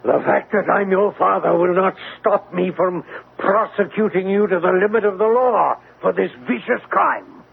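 A man's voice: high voice, normal speed, gravelly, slightly rough, phone-quality sound, full character voice. An actor playing a stern, outraged Victorian father accusing his son in an old radio drama. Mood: stern, threatening. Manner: accusing, declamatory. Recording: thin, noisy old radio broadcast.

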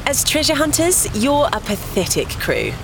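A woman's voice: sits high, wildly swooping pitch, moderate pace, vocal fry, noisy background, full character voice. An actress playing a tough, sardonic woman treasure hunter in an audio drama, cool, dry and teasing.

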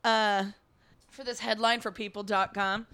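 A woman co-hosting a casual comedy podcast, telling stories and slipping in deadpan joke bits. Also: medium pitch, unhurried, clean tone, dry recording. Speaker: podcast co-host.

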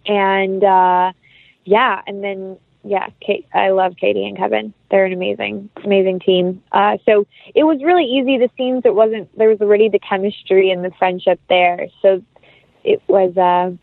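A dry, narrow phone-like call carries a woman's voice at an average pitch. She talks as an actress being interviewed, chatty and informal, peppering her speech with casual fillers. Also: steady tone, moderate pace, gravelly, no echo. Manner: chatty, informal, uses "like" and "you know" often.

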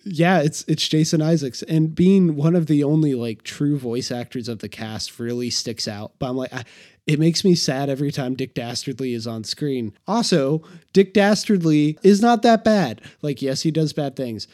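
A man's voice: fairly high pitch, normal speed, darkish tone. A man co-hosting a casual film discussion, analytical and opinionated as he talks. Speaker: movie discussion co-host. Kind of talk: casual film review chat.

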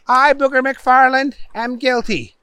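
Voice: high-pitched, fairly even intonation, a little slow, pushed and bright, clear, resonant tone, no room sound, voice pushed up. A storyteller voicing a weary, exasperated male judge who questions insistently and spells out choices in a comic story.

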